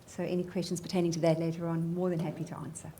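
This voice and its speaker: A woman's voice: fairly low pitch, little pitch movement, racing, dark tone, a little hiss or hum. A woman from South Africa with a South African accent, a CEO and sales coach speaking confidently on a panel. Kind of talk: panel self-introduction.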